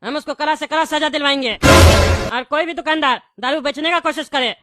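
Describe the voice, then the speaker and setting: A performer plays a bossy male cartoon politician. He lectures and gives orders in a high voice, against heavy background noise. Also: normal speed, lightly acted. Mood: stern, bossy. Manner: commanding, preachy, repeatedly demands agreement. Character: bossy, self-important male village politician, cartoon pumpkin. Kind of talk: Hindi cartoon dialogue. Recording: loud background noise with clicks.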